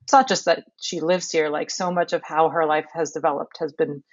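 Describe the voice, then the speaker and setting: A woman's voice: deep voice, steady tone, fairly quick, dry recording, highs cut off. A woman from Canada answering interview questions in a thoughtful, conversational way, often restarting her sentences. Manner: thoughtful, many fillers and restarts. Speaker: podcast narrator and guest.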